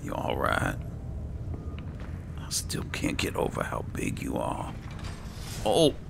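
A man's voice: extremely low pitch, huge pitch swings, takes it slowly, gravelly. A man doing casual gameplay commentary, exclaiming and talking to his companions as he plays. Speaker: gaming video host. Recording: loud game noise behind the voice. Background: game combat and ambience sounds.

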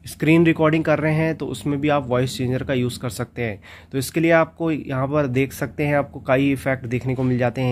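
Man presenting a phone tutorial, noisy recording. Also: medium pitch. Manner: repeatedly addresses viewers as friends. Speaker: tech tutorial host. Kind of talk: casual step-by-step phone tutorial. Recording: steady background noise and ambience.

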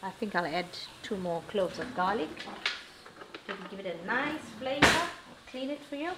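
A woman's voice: mid-range voice, lively intonation, normal speed. A middle-aged woman with a South African Indian English accent, chatting reassuringly as she demonstrates a recipe at home. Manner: chatty, reassuring, talks while cooking. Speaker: cooking show host. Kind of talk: casual cooking demonstration. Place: home kitchen, indoors. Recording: echoey kitchen with frying noise.

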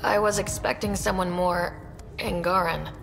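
An actress playing a dry, cocky young space explorer in a video game, terse and self-assured. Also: female voice, on the low side, fairly even intonation, unhurried, creaky, over music. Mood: dry, cool. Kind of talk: video game dialogue acting. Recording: roomy echo, faint background noise.

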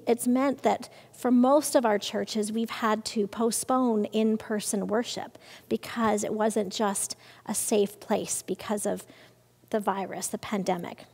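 Slow-spoken woman minister in a reverberant church. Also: a little high. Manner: gentle, explaining, with hand gestures. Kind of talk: children's conversation time. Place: church sanctuary. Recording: long reverb of a church sanctuary.

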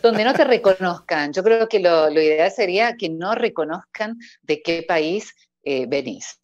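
A low-pitched woman from Córdoba, Argentina, with a lilting Cordobés accent, talking easily and laughing often. Her voice comes through earphone headset audio on a video call, a little dull and very dry. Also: expressive, a little slow, no echo. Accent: Cordobés Argentine Spanish. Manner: laughs often, warm sing-song lilt. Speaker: voice-over artist guest. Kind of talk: casual interview over video call. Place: indoor room at home.

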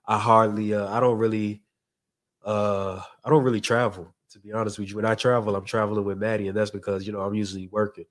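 Relaxed young Nigerian man chatting. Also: on the low side, fast talker. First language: Yoruba. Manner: relaxed, candid, repeats phrases. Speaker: podcast guest. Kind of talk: casual chat, reading viewer comments. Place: studio set. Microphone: studio mic on stand.

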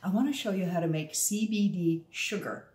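A woman's voice: on the low side, moderate pace, loud music behind the voice. A woman in her fifties hosting a casual cooking demo in her home kitchen, talking upbeat and straight to viewers. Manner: chatty, upbeat, addresses viewers directly. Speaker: cooking show host. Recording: echoey kitchen, faint noise.

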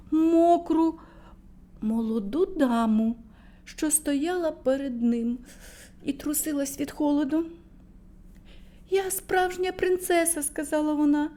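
A woman's voice: sits high, mellow. A woman telling a fairy tale to small children in a playful storyteller manner, dropping to a whisper at moments.

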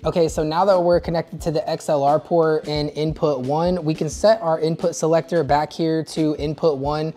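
A man's voice: a little high, little pitch movement, moderate pace, few pauses, warm tone, faint music behind. A man in his thirties hosting a camera gear tutorial, explaining settings step by step in a friendly way, recorded on clip-on wireless mics in a home living room. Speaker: host of a filmmaking gear channel.

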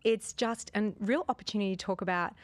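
An Australian woman in her fifties speaks earnestly into a studio microphone, spinning long, flowing explanations. Her voice sits at a middle pitch and sounds close and dry, with no room around it. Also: fairly quick, dry recording. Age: 50s. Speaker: podcast guest. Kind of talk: casual interview conversation. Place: podcast studio. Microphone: studio microphone on boom arm.

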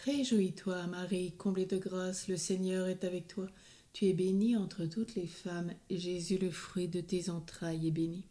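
A woman leads a rosary in a slow, steady, prayerful recitation. Her voice sits a little low and sounds soft and mellow. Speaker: prayer leader.

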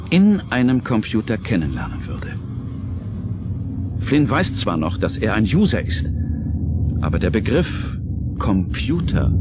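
A man narrating a radio play from a script, suspenseful and dramatic, with emphatic pauses. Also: mid-range voice. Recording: music under the narration.

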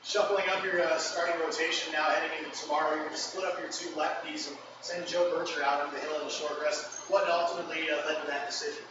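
Fast-talking sports announcer, far off-mic. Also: male voice, a little high, level tone, highs cut off. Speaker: team broadcaster hosting press conference. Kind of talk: post-game interview questions. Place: press conference room. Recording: echoey room, far off-mic, noisy.

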